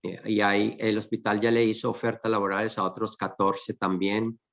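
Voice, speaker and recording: A man hosting a conversational webinar, explaining things in halting, repeated phrases. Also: lowish voice, level tone, creaky, a bit bright, highs cut off. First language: Spanish. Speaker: host.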